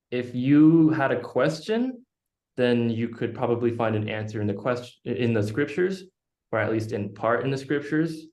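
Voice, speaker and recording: A young man from Utah, a guest on a podcast, answering questions in a thoughtful, measured way. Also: mid-range voice, darkish tone. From Utah, United States. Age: young adult. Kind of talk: casual interview chat. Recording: echoey room.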